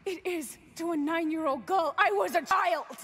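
A woman's voice: very high-pitched, moderate pace, fairly bright tone. An actress playing a determined young woman adventurer with old grief, earnest, in a video game cutscene. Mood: anguished, angry. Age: young adult.

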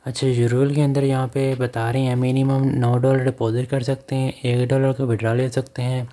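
Flat-voiced man. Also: mid-range voice, moderate pace. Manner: instructional, repeats step-by-step directions. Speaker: online earning channel host. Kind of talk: screen-recorded app walkthrough. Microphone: voice-over to phone screen recording.

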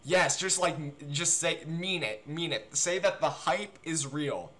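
Bright-voiced male streamer, slightly high. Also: measured pace. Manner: hesitant, repetitive phrasing with stammered starts. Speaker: game streamer making a prank call. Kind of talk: casual, excitable stream chat.

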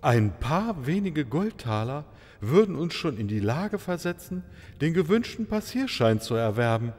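A man's voice: a little high, big pitch swings, unhurried, darkish tone. A man playing a flowery, obsequious oriental prince in an audio play, with a pompous manner. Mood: courtly pleading. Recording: reverberant hall-like sound.

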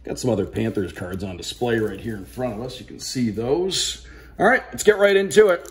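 A man's voice: medium pitch, expressive, a little fast. A man hosting an unboxing video with casual, upbeat commentary, talking straight to the viewers. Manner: upbeat, addresses viewers directly. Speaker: sports broadcaster hosting a card unboxing. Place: indoor room at a wooden table.

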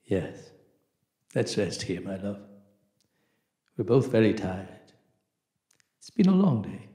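A man playing an anxious husband, widely swinging pitch. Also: big pitch swings, takes it slowly, warm tone, heavy reverb. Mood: soothing, tender. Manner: emotional, repeating himself. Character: anxious, devoted husband searching for his lost love. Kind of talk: radio play acting.

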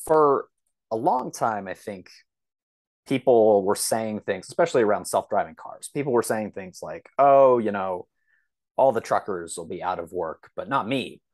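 A man's voice: fairly low pitch, expressive, normal speed, darkish tone, no room sound. A man talking casually as a podcast guest, explaining things through examples and rambling asides. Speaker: podcast guest, science fiction author.

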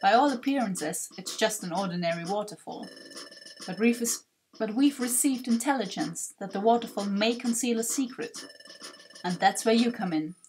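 A woman voices a bossy bird second-in-command from a video game. The delivery is formal and brisk, handing out instructions over background music. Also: mid-range voice, a little mellow, slight background noise, close to an everyday voice, low-quality recording. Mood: stern, businesslike.

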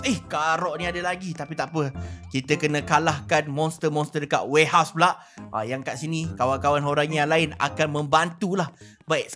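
A Malaysian man hosting a gaming video with excited, animated roleplay commentary, exclaiming and drawing out words. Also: a little high. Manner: animated, exclaiming, drawn-out shouts.

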